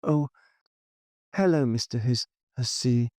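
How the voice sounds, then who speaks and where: A man's voice: medium pitch, slow, darkish tone, no echo, pitched above the performer's own voice, lightly acted. Audiobook role: male reader as nervous female official, stammering apologies in a raised, dry-recorded voice. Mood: flustered. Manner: faltering, hesitant, stammering. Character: flustered, apologetic younger woman council official. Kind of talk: audiobook character dialogue.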